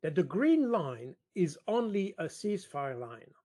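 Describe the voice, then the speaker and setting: A man giving analysis as an interview guest, halting as he searches for words. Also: sits high, big pitch swings, dark tone.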